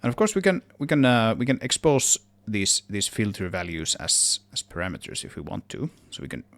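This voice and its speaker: A man giving a casual software tutorial, thinking aloud, correcting himself and trailing off as he works. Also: on the low side, very animated, brisk, gravelly. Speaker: tutorial presenter, game audio developer.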